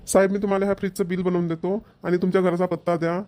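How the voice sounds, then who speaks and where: A man's voice: sits high, flat delivery, very fast, warm tone, lightly acted. A man voicing a polite, businesslike shop salesman in a cartoon. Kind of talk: cartoon voice acting.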